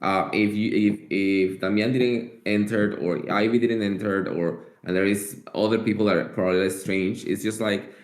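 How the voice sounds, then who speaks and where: A man's voice: fairly low pitch, fairly even intonation, normal speed, hardly any pauses. A man talking casually in an online meeting, explaining his point as he thinks aloud. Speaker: working group participant. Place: online group call. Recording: echoey room sound.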